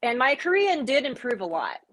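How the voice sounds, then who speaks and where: A woman's voice: high-pitched, big pitch swings, brisk, a bit bright. A woman from Texas with a faint Texas accent, a musician guest chatting in a self-deprecating way. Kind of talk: casual interview chat.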